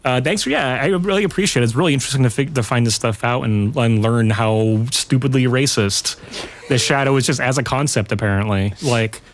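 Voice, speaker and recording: A man's voice: medium pitch, fairly quick, slight background noise. A man talking casually as a podcast guest, in an informal way with rambling asides. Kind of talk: casual pop culture chat.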